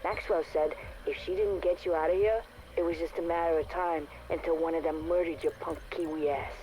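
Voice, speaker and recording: An actress playing a tough, sardonic facility worker with a blunt, sarcastic, slangy way of talking in a game audio log. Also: female voice, low voice, fairly even intonation, fairly quick, dark tone, natural voice, poor audio. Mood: angry, threatening.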